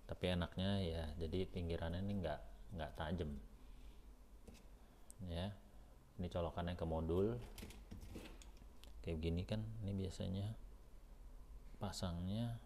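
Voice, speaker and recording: A man's voice: sits low, a little mellow, a little hiss or hum. A man reviews a product in a casual, unscripted vlog style, thinking aloud informally and hesitantly as he goes.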